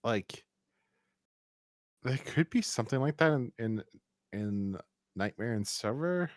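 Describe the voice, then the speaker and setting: A man hosting a podcast in a casual review chat, rambling and halting with repeated false starts. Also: mid-range voice, animated, no room sound, studio quality. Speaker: podcast host.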